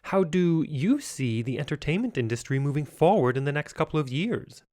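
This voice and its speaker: A man's voice: fairly high pitch, big pitch swings, moderate pace, a little mellow. A man in his thirties hosting a podcast interview, upbeat and encouraging as he asks structured questions. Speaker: podcast host.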